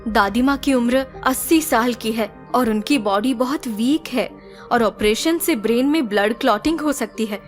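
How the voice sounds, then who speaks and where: Grave female doctor played by a woman, fairly high voice, measured, audio drama over light music. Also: natural voice.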